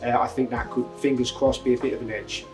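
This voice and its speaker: A man's voice: medium pitch, level tone, quick pace. A man from Lincolnshire answering interview questions, somewhat hesitant, with fillers. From Lincolnshire, England. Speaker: angler competitor. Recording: faint music behind.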